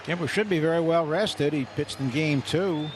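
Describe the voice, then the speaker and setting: A man's voice: on the high side, moderate pace, crowd noise, background noise. A man giving analysis and statistics as a color commentator on a live baseball radio broadcast. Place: stadium broadcast booth. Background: loud ballpark ambience.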